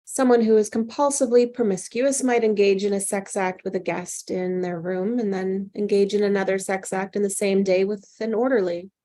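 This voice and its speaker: A woman playing a composed, probing psychiatrist in a screenplay table read, speaking in a deliberate, clinical way. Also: medium pitch, fairly even intonation, dark tone, close to an everyday voice. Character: composed, probing female psychiatrist, coolly authoritative.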